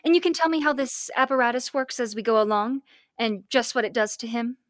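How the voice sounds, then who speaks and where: Young woman as high-voiced, curious radio-serial assistant. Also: fairly even intonation, fairly quick, no echo, clean studio sound, lightly acted. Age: young adult. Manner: inquisitive, wide-eyed. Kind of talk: old-time radio serial acting, by amateur school players.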